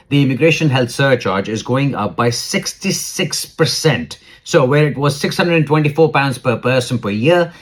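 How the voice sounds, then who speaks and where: A man explaining rules to viewers as an adviser, informal and plain-spoken, repeating points for emphasis in a cautionary way. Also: mid-range voice. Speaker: immigration adviser.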